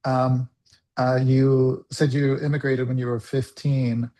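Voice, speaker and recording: Friendly male host with halting phrasing. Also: mid-range voice, level tone, mellow. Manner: friendly, many fillers, searching phrasing. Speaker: interview host. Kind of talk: conversational interview.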